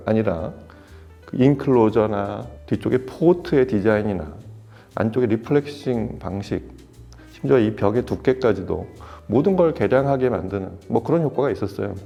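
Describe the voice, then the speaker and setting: A man presenting for an audio shop, explaining loudspeaker design in a technical, step-by-step way with occasional restarts. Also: mid-range voice, dull audio. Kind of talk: explanatory product talk. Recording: soft music behind voice.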